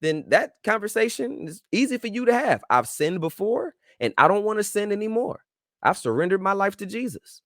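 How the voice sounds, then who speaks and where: A man's voice: high-pitched, sing-song, moderate pace, a bit bright, dry recording, clean studio sound. A man hosting his own show, giving casual, slang-filled advice in an emphatic, preachy way and talking straight to his listeners. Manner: informal slang-filled speech. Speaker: show host.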